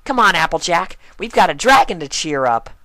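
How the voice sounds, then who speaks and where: Guy doing a super high bubbly girl pony, eager and perky, storybook style. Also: sing-song, normal speed, sharp tone, dry recording. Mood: perky, concerned. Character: bubbly, childlike, excitable female pony.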